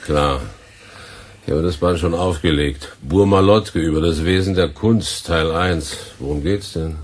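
A laconic studio technician. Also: male voice, low voice, a little slow. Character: casual male studio technician.

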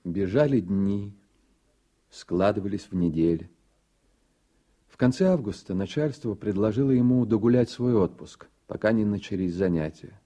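An actor playing a reflective literary narrator in a radio play, with a husky voice. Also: male voice, fairly low pitch, animated, normal speed, dark tone.

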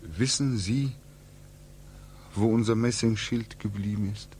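Guy playing homesick soldier back from war, lowish voice, really slow, anguished old radio drama w music. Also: darkish tone, slight background noise, natural voice. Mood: bewildered, pleading. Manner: halting, repetitive, swinging into agitated questioning. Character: homesick, anguished young war returnee, desperate and brooding. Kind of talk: 1940s German radio drama acting. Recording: 1940s radio recording, music behind.

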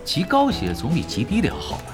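A man's voice: on the high side, wildly swooping pitch. An actor in a Chinese audio drama playing a laid-back, shrewd veteran online gamer who teases unhurriedly and commands his raid team. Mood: relaxed, amused. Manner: unhurried teasing in talk, commanding when giving orders. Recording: studio drama with loud music behind.

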